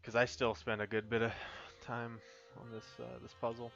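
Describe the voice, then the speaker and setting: A man's voice: medium pitch, little pitch movement, moderate pace, vocal fry, fairly bright tone, muffled recording, faint music behind. A man playing a game on stream, talking loosely, swearing and muttering as he plays. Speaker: gamer on a group stream. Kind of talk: casual chat.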